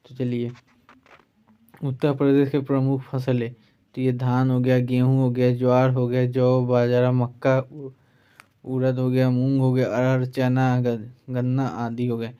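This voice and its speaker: A man's voice: mid-range voice, completely flat delivery, unhurried, warm tone, clean tone, muffled recording. A man teaching an online exam-prep lesson, reading out facts point by point with a repeated tag after listed items. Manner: repetitive verbal tag after each listed item.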